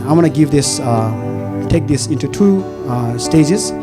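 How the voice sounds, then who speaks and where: A man's voice: fairly high pitch, lively intonation, unhurried, loud music behind the voice. A man leads a church congregation in prayer and response, speaking in an earnest, encouraging and prayerful way. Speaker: worship leader. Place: church in Hong Kong.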